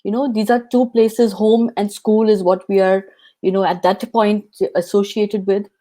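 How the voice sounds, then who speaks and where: A woman's voice: mid-range voice, flat delivery, moderate pace, mellow. Earnest woman hosting an interview. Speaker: interview host. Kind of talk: interview questions.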